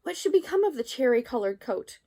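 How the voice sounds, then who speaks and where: A woman's voice: high voice, big pitch swings, brisk, dark tone, full character voice. A woman voicing a poor, despairing old tailor in a storybook, lamenting in old-fashioned exclamations. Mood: feverish lament.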